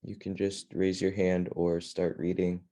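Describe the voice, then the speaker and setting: Guy reading a kids fantasy book out loud to a group, deep-ish mellow voice, nothing fancy. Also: completely flat delivery, measured pace. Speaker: reading club leader. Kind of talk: reading a children's fantasy novel aloud to a group.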